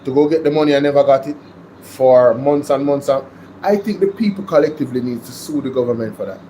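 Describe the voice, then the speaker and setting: A man's voice: mid-range voice, quick pace, warm tone, slight background noise, low-quality recording. A man with a Jamaican accent hosting a reaction show, commenting emphatically on a political interview clip. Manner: emphatic, sarcastic asides.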